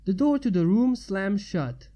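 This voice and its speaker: A woman's voice: mid-range voice, lively intonation, a little slow, warm tone. A woman narrating fan fiction as a story reader.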